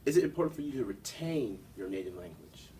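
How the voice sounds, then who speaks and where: A man's voice: lowish voice, big pitch swings, fast talker, dark tone. A young man hosting an interview, asking questions in a casual way with fillers. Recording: distant mic, faint background noise.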